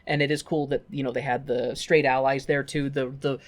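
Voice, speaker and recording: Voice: mid-range voice, level tone, a little fast. A podcast co-host in casual film chat, talking informally with folksy turns of phrase and loose swearing.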